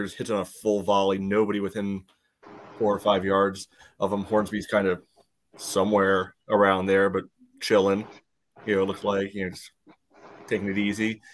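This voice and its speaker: A man's voice: low-pitched, slight background noise. A man co-hosting a sports podcast, explaining match play step by step in a casual, matter-of-fact way. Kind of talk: casual soccer match analysis.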